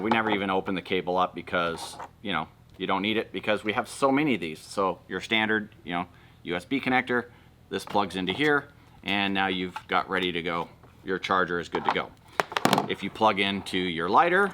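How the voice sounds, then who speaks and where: A man's voice: low-pitched, expressive, fairly quick, noisy background. A man giving casual, matter-of-fact unboxing commentary, explaining things as a vlog co-host.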